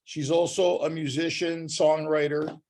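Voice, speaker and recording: A man's voice: a little high, level tone, unhurried, darkish tone, slight background noise. A man from Canada with a Canadian accent, co-hosting a podcast in wisecracking, rambling casual chat. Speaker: podcast co-host.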